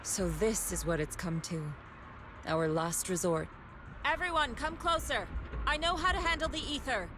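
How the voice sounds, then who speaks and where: A woman playing a calm, commanding master who gives orders to disciples in a video game. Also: fairly high pitch, moderate pace, noisy background, lightly acted. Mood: grave resolve. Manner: composed, authoritative, giving orders. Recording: game audio under loud music.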